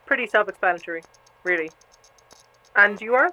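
Female actor as a friendly machine lookout in audio drama: patient, reassuring leader, mid-pitched, dull sound under loud noise. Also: animated, fairly quick, natural voice. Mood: friendly, introducing.

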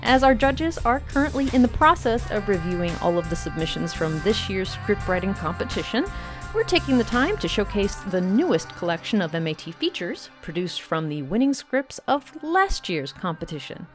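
A woman radio host introduces a program in a polished, scripted way. Her mid-pitched voice moves with lively intonation, and music plays faintly behind her. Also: moderate pace.

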